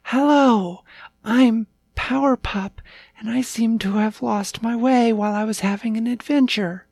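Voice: mid-range voice, a little slow, darkish tone, no room sound, clean studio sound, voice pushed up. An adult storyteller voicing a small, earnest lost puppy who rambles like an adventurous young child. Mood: earnest, childlike.

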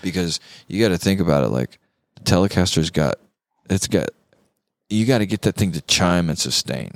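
A man's voice: low voice, expressive, moderate pace, dry recording. A man chatting casually as a show co-host, talking in an emphatic, slangy way like a guitar enthusiast. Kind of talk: casual chat.

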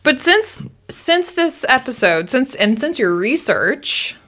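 A woman's voice: high voice, sing-song, slow, fairly bright tone. A woman co-hosting a podcast in casual conversation, often chiming in to question and clarify. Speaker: podcast co-host.

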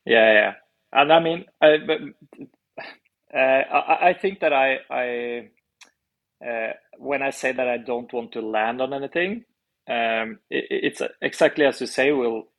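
A man with a Norwegian accent, a musician talking as a guest in a casual interview, halting and restarting as he speaks. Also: mid-range voice, lo-fi sound. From Norway.

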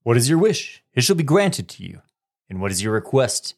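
A man's voice: medium pitch, sing-song, normal speed, gravelly, darkish tone. A man playing a generous king in a school radio skit, reading formal lines. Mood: regal. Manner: formal, declaiming.

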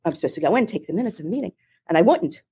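A middle-aged woman with a Philadelphia accent, telling her career story in an interview in a chatty, animated way with many restarts. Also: fairly low pitch, rapid-fire, breathy edge. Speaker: marketing executive, guest. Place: home or office in Ohio, remote call.

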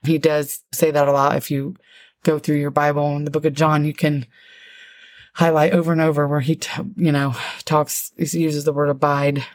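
A middle-aged woman with a low, deep voice talks through a Bible word study at an easy pace. She lingers on definitions as she explains them. Her voice sounds close and dry, with no room echo. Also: little pitch movement. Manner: warm, explanatory, reads definitions slowly. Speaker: podcast co-host, Bible teacher.

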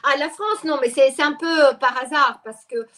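Middle-aged woman, high voice, chatty guest, lots of restarts and euhs. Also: moderate pace, a bit bright. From Ukraine. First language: Russian. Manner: talkative, many restarts and fillers. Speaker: guest expert. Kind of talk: interview conversation.

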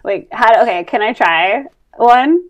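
A woman's voice: fairly high pitch, lively intonation, measured pace. An American woman in her thirties, chatting casually and playfully as a podcast co-host, often saying "like". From New Jersey, United States. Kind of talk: casual comedic podcast chat.

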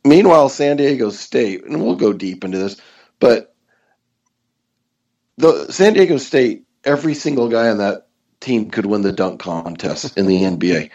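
A man's voice: on the low side, very animated, normal speed, a little mellow, highs cut off. A man co-hosting a casual sports podcast, wisecracking and laughing at his own jokes. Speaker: podcast co-host.

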